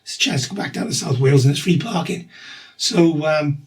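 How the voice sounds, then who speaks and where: A middle-aged man with a husky voice and a slight cough, talking through his treatment in a rambling, matter-of-fact video diary. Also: on the high side, brisk. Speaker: vlogger documenting his cancer treatment.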